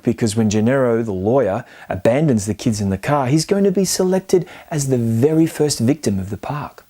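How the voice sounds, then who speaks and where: A man narrates a film commentary as its host. His voice sits at a medium pitch with a soft, dark-edged tone. The delivery is scripted yet colloquial, making its case with playful emphasis. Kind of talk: scripted video-essay narration.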